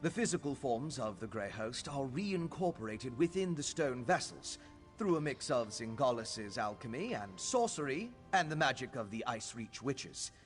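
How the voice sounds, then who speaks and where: A man's voice: mid-range voice, big pitch swings, normal speed, soft background music, lightly acted. An actor playing an earnest, scholarly male alchemist mage in a video game, explaining things in a learned, formal way. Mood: scholarly, assured.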